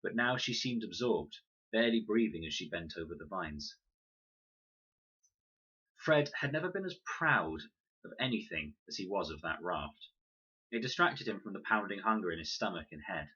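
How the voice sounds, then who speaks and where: A male reader tells a children's story with lively, varied intonation. His voice is fairly low with a forceful edge, and the recording sounds slightly dull. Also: moderate pace, slightly rough. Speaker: audiobook narrator, reader. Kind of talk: expressive children's story narration.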